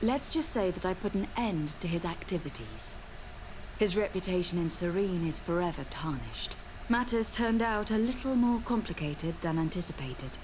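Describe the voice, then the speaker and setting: A composed young noblewoman , voiced by a woman for a game, speaks in a low register with formal, measured diction. She is diplomatic but firm, and there is clear noise behind her. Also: expressive, lightly acted. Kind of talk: video game dialogue.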